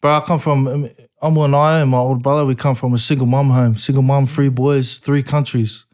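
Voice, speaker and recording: A man from American Samoa, a guest rapper in a casual interview, laid-back, swearing a lot and breaking into laughing excitement. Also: mid-range voice, a little fast.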